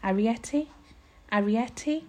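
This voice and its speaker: A woman's voice: sits high, sing-song, normal speed, voice pushed up. A woman voicing an earnest, anxious young boy with an urgent, questioning manner in a storybook reading. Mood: hushed calling.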